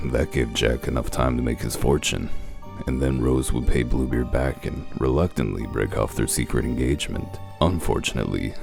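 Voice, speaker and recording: A man playing a gruff, world-weary detective in a comic dub, with a gravelly voice and a methodical, matter-of-fact way of explaining. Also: very deep voice.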